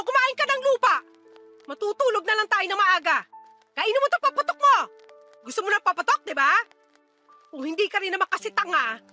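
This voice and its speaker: A woman's voice: very high voice, pushed and bright, full character voice. An actress playing a harsh, angry mother in a Filipino radio drama, scolding and insulting her child impatiently.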